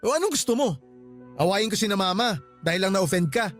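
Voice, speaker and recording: A petulant young boyfriend in a radio drama. He nags, brushes complaints aside and turns defensive quickly, over background music. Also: high voice, lively intonation, fairly bright tone. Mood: defensive, dismissive. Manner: nagging, defensive, dismissive. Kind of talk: Filipino radio drama acting.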